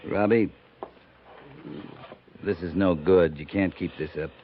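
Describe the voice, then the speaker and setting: Tinny vintage radio sound, lowish voice, calm plain-spoken army captain, loyal, reassuring. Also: male voice, measured pace. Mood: frank, concerned. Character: steady, loyal, fair-minded cavalry captain. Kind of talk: 1950s radio drama acting. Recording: tinny, noisy 1950s radio recording.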